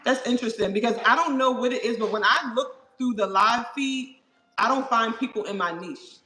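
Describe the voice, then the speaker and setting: A woman's voice: a little high, normal speed, bright, forceful tone, a little hiss or hum. A woman hosting a casual live stream, agreeing often and putting questions to her guest and viewers. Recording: echoey room.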